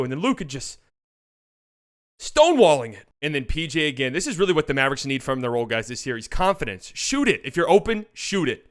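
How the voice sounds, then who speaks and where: High-pitched, smooth-voiced male basketball commentator. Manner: animated fan talk, swears freely. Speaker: sports show host. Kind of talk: casual basketball highlight commentary.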